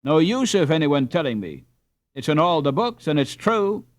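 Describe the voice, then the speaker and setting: A man acts a proud storybook schoolboy who bristles easily. The speech is emphatic and argumentative, with lively swings in pitch. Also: moderate pace. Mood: indignant. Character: proud, easily indignant Dutch teenage boy.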